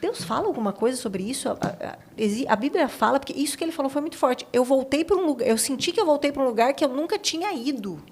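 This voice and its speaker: A woman's voice: high-pitched, expressive, quick pace, few pauses, a little mellow, a little hiss or hum. A woman with a Brazilian accent hosting a podcast, chatting casually and expressively, with informal questions and exclamations. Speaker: podcast host. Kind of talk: casual interview chat.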